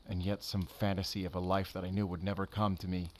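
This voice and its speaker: A man playing a brooding, tender but guarded werewolf hunter in an intimate audio roleplay, speaking softly and haltingly. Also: low-pitched, little pitch movement, a little hiss or hum, lightly acted. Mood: confessional, yearning. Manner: soft, halting, intimate, earnest delivery.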